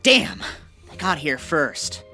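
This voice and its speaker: Audio drama, man voicing excitable young gangster, brash, bossy, sharp-edged, music behind. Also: a little high, takes it slowly, full character voice. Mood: frustrated, alarmed. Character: brash, hot-headed young male gangster gunman. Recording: background music under the voice.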